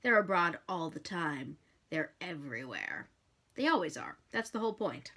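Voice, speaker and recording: A woman's voice: on the low side, sing-song, normal speed, pushed and bright. A woman reading a novel aloud expressively, with a wry delivery and the occasional stumble over a word.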